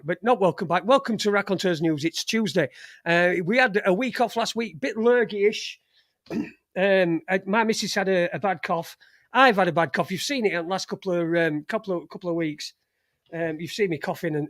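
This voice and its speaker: A man's voice: high-pitched, fairly bright tone, dry recording, studio quality. A man in his forties with a Sheffield accent, hosting a live stream and chatting loosely to his chat room, swearing often and trailing off. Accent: Sheffield, Yorkshire. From England. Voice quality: chatty, swears freely, lots of fillers and restarts.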